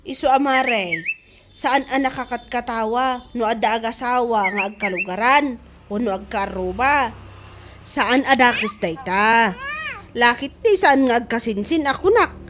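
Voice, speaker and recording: A woman's voice: a little high, unhurried, noisy background, close to an everyday voice. A woman playing a chatty, proud middle-aged mother who gossips, in a comedy radio drama with an Ilocano accent. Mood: self-satisfied. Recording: music under the voice.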